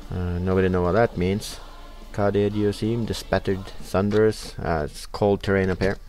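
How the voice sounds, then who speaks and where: Norwegian guy, pretty deep voice, lots of uhs, chatting over his game with soft music under it. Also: little pitch movement, moderate pace. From Norway. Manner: hesitant, many uh fillers, reading in-game text aloud. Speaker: let's play host. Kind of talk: casual game commentary.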